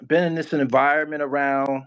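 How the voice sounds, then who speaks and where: A man interviewing a guest in casual chat, relaxed but challenging, pushing back on what he hears. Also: fairly high pitch, fairly quick, smooth, highs cut off. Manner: laid-back, challenging, laughs and pushes back.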